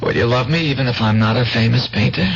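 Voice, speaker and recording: An actor playing a hesitant, insecure painter husband in a radio drama. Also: male voice, mid-range voice, quick pace, close to an everyday voice. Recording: dull old radio recording, faint hum.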